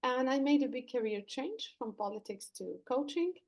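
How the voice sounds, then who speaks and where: Woman guest from Lebanon, high voice, earnest coach explaining her methods. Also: animated, moderate pace. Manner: earnest, explanatory, some fillers and restarts. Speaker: leadership coach, podcast guest. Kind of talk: podcast interview answers.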